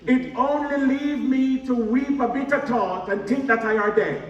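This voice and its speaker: Echoey and distant over an audience, a comic Jamaican preacher holds forth in a very high voice. The delivery is flowery, pompous and theatrical, in the broad manner of a stage pantomime. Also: male voice, normal speed, dull audio, heavy background noise. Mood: mock solemn. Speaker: stage actor. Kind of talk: theatrical pantomime comedy performance.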